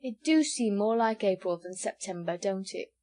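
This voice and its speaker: A woman doing a doleful old rustic villager, quiet and grumbling. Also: mid-range voice, lively intonation, normal speed, lightly acted. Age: 70s. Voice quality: quiet, mournful, rustic drawl. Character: elderly male village sage, doleful and pompous.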